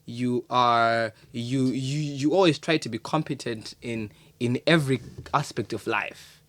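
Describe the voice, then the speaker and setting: A man talks casually as a podcast guest, reflective and halting, often doubling back on his words. His voice sits in the middle range. The recording is close and dry, with no room sound. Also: medium pitch, a bit bright, dry recording. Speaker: podcast guest, poet and creative.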